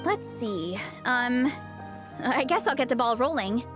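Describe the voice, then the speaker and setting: A bubbly young heroine with a high voice, earnest and eager, as heard in an anime game. Music plays clearly behind the lines. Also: female voice, expressive, measured pace. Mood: upbeat, eager. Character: cheerful, ravenous young heroine, earnest comic-book fan. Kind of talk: anime-style game voice acting.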